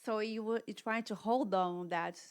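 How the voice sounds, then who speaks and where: A woman's voice: mid-range voice, steady tone, clean tone. Podcast host, woman, Brazilian accent, Portuguese native, searching for words in English. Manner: halting, rephrasing questions mid-sentence. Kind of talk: interview questions.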